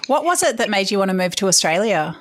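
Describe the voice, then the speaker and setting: A woman's voice: mid-range voice, big pitch swings, quick pace, no room sound. A woman hosting a food podcast, introducing and questioning her guest enthusiastically. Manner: enthusiastic, friendly, some fillers. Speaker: podcast host. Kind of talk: podcast interview.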